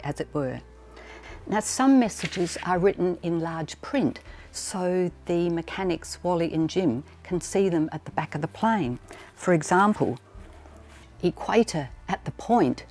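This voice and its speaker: A woman's voice: lowish voice, darkish tone. A woman, a librarian presenting to camera in a prepared style. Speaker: librarian and exhibition curator presenting. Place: indoors in a large archive storage room among shelving.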